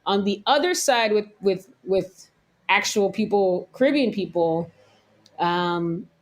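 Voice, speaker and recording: An American woman chef, around her thirties, talking candidly and thoughtfully in a relaxed interview, laughing now and then. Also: medium pitch, slow. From United States. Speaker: chef, guest. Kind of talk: casual interview talk.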